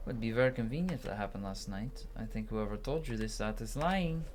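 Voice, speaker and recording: Gaming narrator, medium pitch, stumbling dialogue read-aloud, noisy recording. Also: brisk, darkish tone. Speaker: gaming video narrator voicing characters. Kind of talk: reading video game dialogue aloud.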